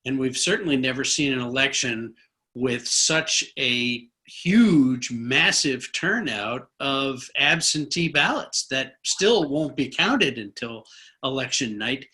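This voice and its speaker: A man's voice: mid-range voice, animated, takes it slowly, bright, forceful tone. A man hosting a conversational political interview, musing informally and in a rambling way. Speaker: interviewer, host.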